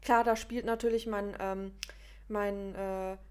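A woman's voice: mid-range voice, little pitch movement, takes it slowly, darkish tone, clear, resonant tone. A woman co-hosting a podcast in casual chat, with a regional German dialect and a speech impediment, talking hesitantly with many fillers. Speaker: podcast co-host.

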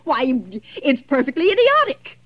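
A woman's voice: very high-pitched, very animated, moderate pace, no room sound. An actress playing an imperious, panicky married woman on the telephone in 1940s radio suspense style, fretful, stammering and haughty. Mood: flustered, irritated. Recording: muffled old-time radio recording.

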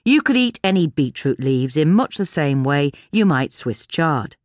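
A woman's voice: deep voice, big pitch swings, a little slow, no echo, clean studio sound. A woman with a British accent reading a scripted article as a narrator.

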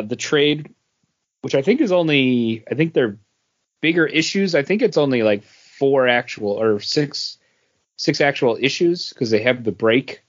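A man co-hosting a podcast, talking casually and haltingly as he gives a review. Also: medium pitch, highs cut off. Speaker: podcast co-host reviewing comics. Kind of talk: casual talk, book review.

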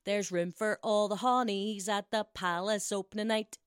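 A woman's voice: medium pitch, fairly even intonation, moderate pace, clear, resonant tone, dry recording, clean studio sound, close to an everyday voice. A woman voicing a sleazy, swaggering young male rapper prince who talks in brash, rhyming street slang. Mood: cocky.

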